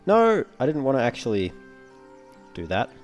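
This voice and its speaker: A man giving casual, low-key let's play commentary, musing aloud as he plays. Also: huge pitch swings, normal speed. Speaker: gaming video host. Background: game music.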